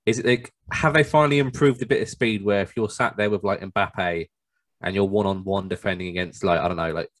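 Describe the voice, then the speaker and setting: Male co-host, lowish voice, very quick delivery, informal conversational style. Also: steady tone, no room sound. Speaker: podcast co-host. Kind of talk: casual chat.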